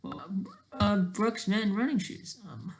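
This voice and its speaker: A person thinking aloud in casual, rambling, digressive commentary as a video creator, with a creaky voice. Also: medium pitch, animated, takes it slowly, soft background music.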